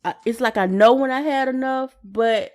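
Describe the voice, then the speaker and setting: A woman's voice: a little high, moderate pace, darkish tone. A woman co-hosting a podcast in a casual personal chat, hesitant and often repeating and rephrasing her words. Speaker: podcast co-host.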